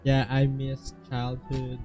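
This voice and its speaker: A man's voice: medium pitch, completely flat delivery, takes it slowly. A man making casual, joking asides while streaming a game. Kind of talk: casual gameplay commentary. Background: game music.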